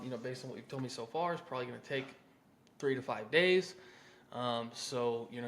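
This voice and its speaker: American man, mid-pitched voice, sales coach, conversational, informal, instructional talk with example script lines. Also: fairly bright tone.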